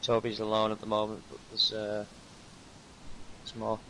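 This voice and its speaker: A man leading a roleplay squad, briefing the group in a calm, steady, instructive way with frequent uhs. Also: lowish voice, normal speed, a little hiss or hum, rough recording. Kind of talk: in-character tactical briefing.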